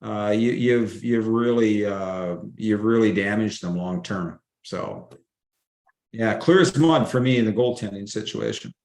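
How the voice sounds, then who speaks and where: A man's voice: on the low side, measured pace, creaky, a little mellow. A man, a guest writer on a casual podcast roundtable, talking in a folksy way and reaching for old sayings. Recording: roomy echo.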